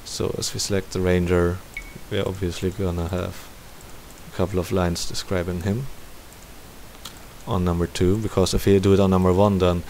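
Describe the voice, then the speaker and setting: A man's voice: sits low, little pitch movement, moderate pace, a little mellow. A man hosting a game tutorial, thinking aloud in a casual commentary as he works. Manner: thinking aloud while working. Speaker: tutorial host. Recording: faint background hiss.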